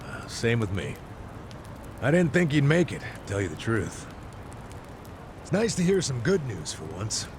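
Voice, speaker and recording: A man's voice: medium pitch, sing-song, measured pace, long pauses, noisy background. A man acting a plain-spoken, seasoned tough guy in a video game, wry and knowing. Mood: relieved, casual. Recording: music behind the voice.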